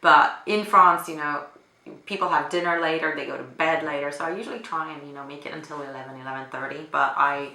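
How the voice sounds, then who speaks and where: Chatty woman, low bright voice. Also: steady tone, fast talker. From Finland. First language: Finnish. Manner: chatty, hesitant, with fillers and little laughs. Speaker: vlogger. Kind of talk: casual chat answering a question tag. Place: apartment room. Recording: echoey home room.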